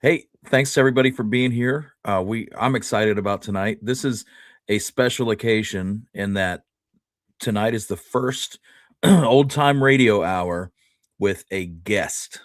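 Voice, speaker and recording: Guy hosting a chat show, kinda low voice, dry sound, stops and starts a bit. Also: measured pace, dry recording. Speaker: host. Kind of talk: casual podcast chat.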